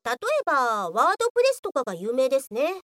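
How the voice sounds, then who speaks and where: A blunt, exasperated police heroine for a radio comedy. Her voice is extremely high and leaps around in pitch as she scolds. Soft music sits underneath. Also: big pitch swings, quick pace, dry recording, clean studio sound. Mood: skeptical scolding. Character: feisty Office police woman, scolding, exasperated straight-talker. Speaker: voice actor. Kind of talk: radio comedy drama acting.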